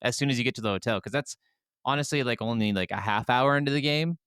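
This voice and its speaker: A man co-hosts a casual gaming podcast with a clear, smooth voice in a dry, close recording. He talks informally and swears now and then, at a medium pitch. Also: fast talker, a bit bright, no room sound. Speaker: podcast co-host.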